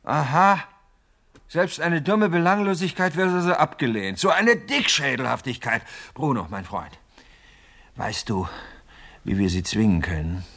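An actor in a radio play as a scheming businessman who wheedles self-importantly and is quick to lose patience. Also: mid-range voice, expressive, gravelly, fairly bright tone, full character voice. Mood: exasperated. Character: scheming, hot-headed businessman haggling with a ghost.

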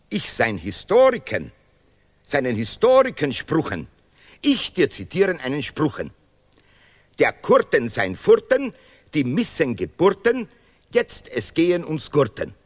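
A self-important professor in an experimental radio play, declaiming in broken German. His pitch leaps extravagantly and his delivery is slow and repetitive. The voice rings in a long, hall-like reverb. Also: wildly swooping pitch, exaggerated voice. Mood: excited reciting. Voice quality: deliberately broken, ungrammatical German. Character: pompous self-praising history professor and Nobel laureate. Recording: reverberant hall-like studio sound.

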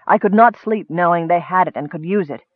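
A man voicing a troubled, resolute male elf in a fantasy audiobook, measured and formal. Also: high-pitched, no room sound, phone-quality sound. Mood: grave.

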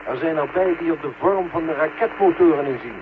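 Casting: matter-of-fact mission control officer, 1970 radio drama, thin phone-like transmission with clear static. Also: male voice, on the high side, fairly quick. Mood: calm, matter-of-fact. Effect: radio link filter. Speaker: actor in a radio play. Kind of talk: 1970 Dutch science fiction radio drama acting.

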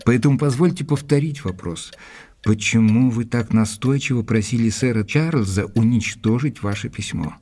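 An actor playing an earnest doctor who narrates his investigation in an audio drama, with a measured, probing delivery. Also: on the low side, dark tone, slightly rough, no echo. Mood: insistent questioning.

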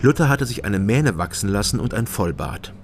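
A laconic male private detective narrates a radio crime drama in a low voice. His sentences are terse and observant, with music clearly behind him. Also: very animated, normal speed. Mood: reflective. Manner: terse, matter-of-fact. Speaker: first-person narrator of a crime radio play.

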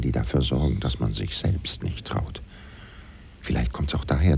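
An actor playing a wry, gossiping French valet who confides ironically in the listener as narrator of a German radio play. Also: male voice, rumbling low, vocal fry, muffled recording, slight background noise, full character voice. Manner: confiding, ironic, rhetorical questions.

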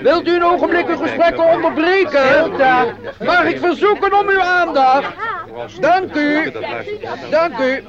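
A man's voice: very high voice, measured pace, crowd noise. An actor playing a fussy, obsequious male company administrator who speaks formally and pompously in a radio play. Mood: pompous, ceremonious. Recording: dull lo-fi radio play recording.

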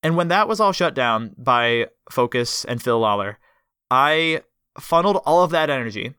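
A young man hosting a casual podcast, chatty and enthusiastic with a rambling flow. His voice is bright and crisp. The sound is dry and close. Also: mid-range voice, expressive, moderate pace, no room sound. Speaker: podcast host.

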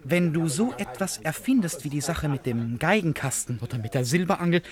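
An actor in a German radio play, playing an eccentric, dreamy gentleman who speaks in a courteous, poetic way. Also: on the high side, animated, brisk, close to an everyday voice. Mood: earnest, courteous.

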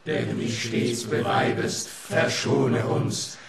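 An actor playing a fearful villager chanting a pleading litany in a radio play. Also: male voice, medium pitch, fairly even intonation, takes it slowly, creaky, very noisy.

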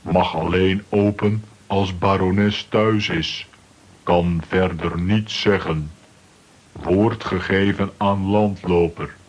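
An actor playing a gruff, blunt strongman who talks in clipped telegram phrases, in a children's comic-strip radio play. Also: deep voice, steady tone, takes it slowly, heavily acted. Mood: firm, challenging. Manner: clipped telegram-style sentences without articles. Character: gruff male strongman of few words, blunt and sure.

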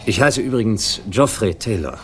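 An actor playing a naive young upper-class man, vain and easily offended, in a halting 1960s German radio crime drama performance. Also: lowish voice, expressive, faint music behind, natural voice. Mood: stiff, boastful. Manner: hesitant, halting, affronted.

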